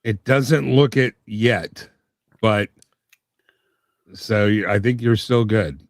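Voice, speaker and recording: A man on a podcast sharing trivia in a measured, casual way. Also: lowish voice, no echo.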